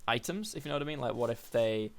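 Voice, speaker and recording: A young man with a slightly hoarse, croaky voice talks through his coding at a middling pitch. He rambles casually, correcting himself as he goes and filling gaps with ums and likes, like someone recording his screen. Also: animated, fast talker. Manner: thinking aloud, rambling with fillers and self-corrections. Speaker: programming tutorial host.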